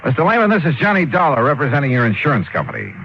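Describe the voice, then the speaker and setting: A man's voice: a little high, wildly swooping pitch, racing. An actor playing a wry, streetwise insurance investigator who narrates his case in 1950s radio detective style. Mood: probing. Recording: dull old radio recording.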